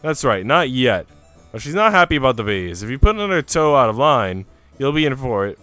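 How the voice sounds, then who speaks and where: Man voicing a haughty, animated young noblewoman. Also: mid-range voice, a little fast, no echo, faint music behind. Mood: imperious. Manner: imperious, taunting. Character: cruel, haughty young noble lady, smug bully.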